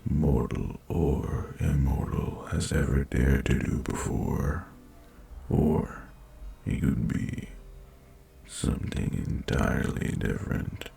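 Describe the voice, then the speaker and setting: A man voicing an ancient, haughty and seductive male dragon in a fantasy romance audio roleplay, speaking formally in a breathy, gravelly murmur with teasing condescension. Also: extremely low pitch, mellow, exaggerated voice. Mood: pensive, intimate.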